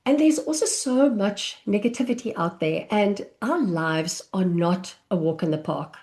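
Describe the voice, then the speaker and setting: South African woman, 50s, parent coach. Slow, friendly, conversational delivery over a video call. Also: medium pitch, lively intonation. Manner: warm, unhurried, chatty with small laughs. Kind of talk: live online masterclass.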